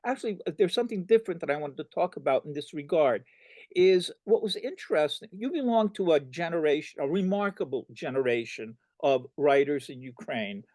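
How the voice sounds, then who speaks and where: A man, a professor, moderating a public conversation, talking in quick asides full of qualifiers and self-corrections. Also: on the high side, animated, a little mellow, dry recording.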